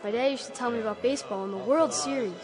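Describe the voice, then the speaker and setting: Woman acting high-voiced eager boy, long reverb. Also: rapid-fire, natural voice. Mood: excited. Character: eager, wide-eyed young boy among space settlers. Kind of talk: radio drama acting. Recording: long reverb, music underneath.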